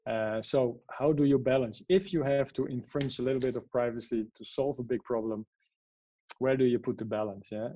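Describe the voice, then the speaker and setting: A man's voice: mid-range voice, steady tone, normal speed, dark tone, dull audio, slight background noise. A man from the Netherlands, a guest in an interview, explaining at length with many fillers and checking "yeah?" questions. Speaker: guest, AI strategist and author. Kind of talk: interview answers.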